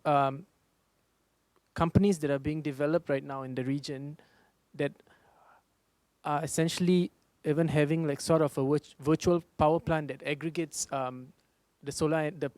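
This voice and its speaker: A Singaporean man in his thirties speaks on a panel, pausing often with fillers as he answers. His voice sits fairly high for a man, and the recording is dry with little room sound. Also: fairly even intonation, darkish tone, no echo. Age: 30s. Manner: hesitant, many fillers.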